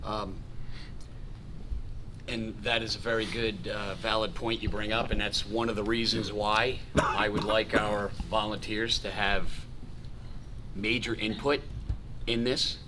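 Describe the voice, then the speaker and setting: A man's voice: fairly low pitch, flat delivery, a little slow, pauses often, noisy background. A man on the town council answering a resident, agreeable and loose in his phrasing. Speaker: council member. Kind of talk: responding at a council meeting. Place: council chamber.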